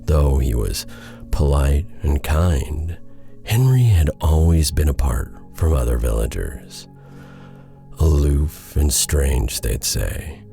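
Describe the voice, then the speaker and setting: A man with a very deep voice telling a bedtime story in a hushed, intimate, unhurried, soothing way. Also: gravelly. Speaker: audio roleplay performer reading a bedtime tale.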